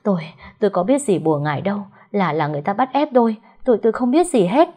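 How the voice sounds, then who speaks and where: A woman's voice: on the low side, fairly even intonation, fairly quick, slightly rough. A woman voicing a terrified young male servant who stammers in panic.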